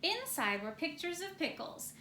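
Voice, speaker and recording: A woman's voice: very high voice, big pitch swings, moderate pace, bright, forceful tone. A woman narrating a children's story as she reads it from a picture book. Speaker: storybook reader. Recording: roomy echo, faint background noise.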